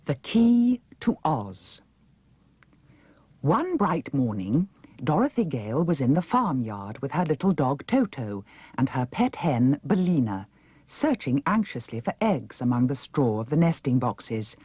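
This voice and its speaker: Female audiobook narrator, British, animated delivery, slightly husky, for children's fiction. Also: extremely low pitch, unhurried, no room sound, lo-fi sound. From United Kingdom. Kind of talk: expressive scripted children's story narration.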